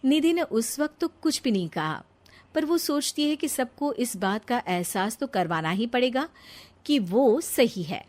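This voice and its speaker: High-pitched woman storyteller, animated delivery. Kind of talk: scripted Hindi cartoon story narration.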